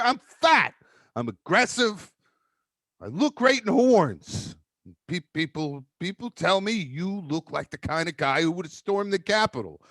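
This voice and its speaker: Deep voiced comedian guy running his bit, swearing a lot, pitch all over, super dry sound. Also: fairly bright tone, no echo. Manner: loose, profane, self-mocking. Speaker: stand-up comedian.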